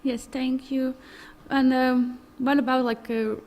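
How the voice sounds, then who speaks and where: A woman's voice: sits high, level tone, slow, darkish tone. A woman moderating a festival Q&A, asking questions hesitantly and filling gaps with "uh" and "like". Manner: hesitant, with many "uh" and "like" fillers. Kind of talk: hosting an interview.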